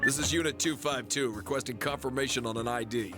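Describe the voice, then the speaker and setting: A man's voice: medium pitch, fast talker, lightly acted. Man acting a curt police guard over music. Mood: official. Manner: curt, official. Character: brusque, by-the-book adult police guard. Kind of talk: English video game dub acting. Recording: game music behind the voice.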